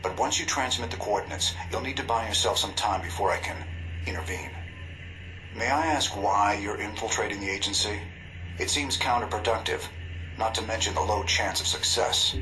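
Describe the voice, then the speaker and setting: An actor playing an older, secretive intelligence broker in a video game, formal, precise and cool over a radio transmission. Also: male voice, on the low side, moderate pace, sharp tone. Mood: cool, measured. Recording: noisy, humming radio link.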